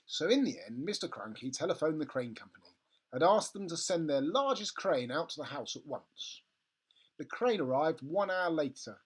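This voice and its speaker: A storybook reader narrating a children's tale in an expressive, theatrical way. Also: mid-range voice, moderate pace, breathy edge. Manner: animated, theatrical.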